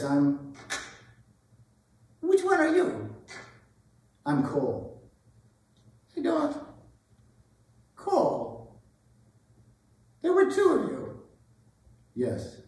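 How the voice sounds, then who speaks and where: A man playing both a forgetful old teacher and a grown former student in a halting solo theatrical sketch. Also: on the high side, exaggerated voice. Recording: very echoey hall, distant mic.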